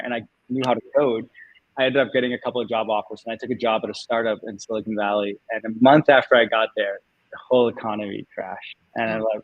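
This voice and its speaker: Male guest, 40s, Danish native with a Californian accent, mid-pitched, easygoing and talkative, dry room. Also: dry recording, lo-fi sound. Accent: Californian American. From Denmark. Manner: chatty, laughs often, many fillers. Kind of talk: casual interview storytelling.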